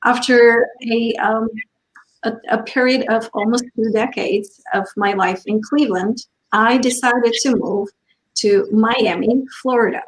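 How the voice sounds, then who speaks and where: A woman's voice: on the high side, fairly even intonation, measured pace, rough recording. A woman who grew up in Albania introducing herself on a panel in a gracious, formal way.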